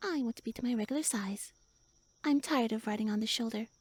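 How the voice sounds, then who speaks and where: Woman voicing a tiny tsundere elf woman, roleplay audio, pouty, defensive, breathy, very close dry sound. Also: a little high, no room sound. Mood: flustered, pouty. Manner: flustered, haughty, defensive, halting. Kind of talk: roleplay audio acting, addressed to listener.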